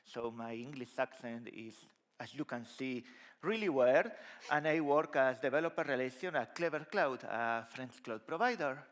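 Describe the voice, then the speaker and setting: A middle-aged man from Spain with a Spanish accent, giving a lively conference talk on a large stage with a headset mic. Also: medium pitch, normal speed. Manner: lively, conversational, gesturing while walking the stage. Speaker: conference speaker.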